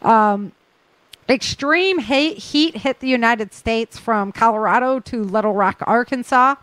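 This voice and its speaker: Higher-pitched woman hosting a casual podcast. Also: fairly bright tone, no echo. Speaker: podcast host. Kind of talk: casual news rundown and chat.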